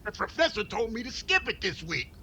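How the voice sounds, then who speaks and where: Man doing wry teasing valet, extremely high pitch, animated, deadpan wisecracks, 1940s radio comedy, noisy clicky recording. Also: a little fast, heavily acted. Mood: teasing, dutiful. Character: wry, unflappable male valet, dutiful but teasing.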